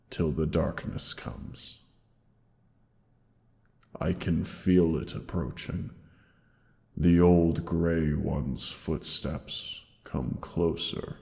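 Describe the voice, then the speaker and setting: A huge old dragon near death, rumbles in an extremely deep voice inside a long, cavernous reverb. He speaks very slowly and solemnly, with weary tenderness, as in a fantasy audio drama. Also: darkish tone, heavily acted. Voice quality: deep gravelly, breathy sighs. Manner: slow, solemn, halting, tender endearments. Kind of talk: dramatic roleplay audio monologue.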